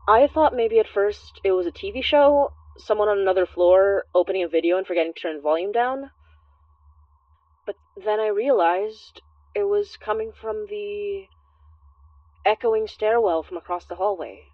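A woman's voice: medium pitch, normal speed, no room sound, natural voice. An actress playing a rambling, self-deprecating Filipino immigrant woman who confides hesitantly and chattily in an audio drama monologue. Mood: uneasy. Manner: hesitant, chatty, trailing off and correcting herself. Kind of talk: audio drama monologue as an intimate phone-call confession. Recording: slightly dull, dry close recording.

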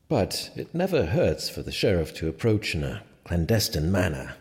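A teasing, self-satisfied sheriff from a medieval audio drama. The voice sits fairly low and moves animatedly, ringing in a large hall. His formal, wry phrasing sometimes stumbles. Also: heavily acted. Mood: amused. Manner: wry, halting, formal wording. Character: smug, teasing medieval sheriff, awkward in love. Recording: long reverberant hall.

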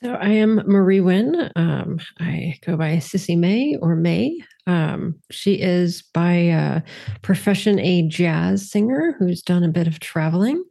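A woman player calmly introducing her character in a tabletop game, with many fillers. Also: lowish voice, a little slow, gravelly.

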